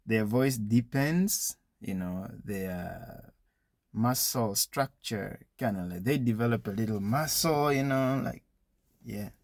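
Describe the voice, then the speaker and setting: Man, gravelly fry, dry close sound, informal educational talk, conversational explaining, frequent asides. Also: medium pitch, no echo. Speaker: speaker giving educational advice to young people.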